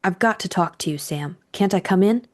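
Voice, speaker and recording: A woman's voice: on the low side, brisk, close to an everyday voice. A woman voicing a jealous, nervous mistress in an audiobook detective story, pleading and complaining insistently. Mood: pleading, urgent.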